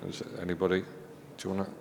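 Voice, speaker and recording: A man's voice: sits low, completely flat delivery, fast talker, vocal fry, a little mellow, slight background noise. A man moderating a conference panel, politely inviting questions from the audience. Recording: reverberant hall.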